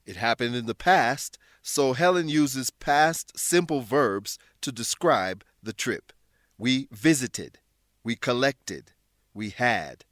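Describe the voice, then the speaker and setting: A man's voice: mid-range voice, expressive, fairly bright tone, dry recording. Man narrating an English lesson, dry studio. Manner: deliberate, instructional, clearly worded. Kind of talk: scripted educational narration.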